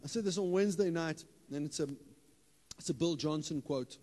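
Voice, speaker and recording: Pastor preaching in a reverberant hall. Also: male voice, on the high side, fairly quick. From South Africa. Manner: animated, playful, rises to loud emphatic bursts. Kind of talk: sermon. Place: church hall stage with audience. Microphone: handheld mic. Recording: hall reverb, live church PA.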